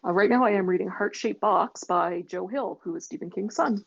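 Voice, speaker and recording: Female book club member, husky with vocal fry, casual, muffled lo-fi audio. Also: medium pitch, brisk. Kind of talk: casual chat about books.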